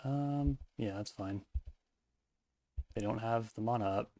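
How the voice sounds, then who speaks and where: A man casually commentating his own card game match, thinking aloud in mumbled asides, his voice hoarse and cracking at times. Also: fairly low pitch, slow, dark tone, no echo.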